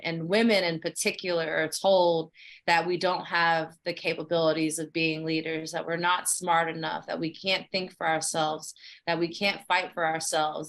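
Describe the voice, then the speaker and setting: Woman moderator, bit low voice, excited, lots of ums. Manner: enthusiastic, hesitant with ums. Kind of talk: panel conversation.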